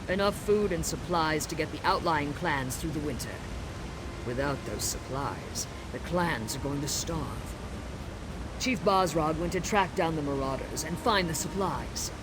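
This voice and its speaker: A man's voice: on the high side, expressive, a little slow, background noise. A man playing a stern orc soldier in a fantasy video game, worried for his clans. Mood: urgent, worried. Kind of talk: video game character dialogue.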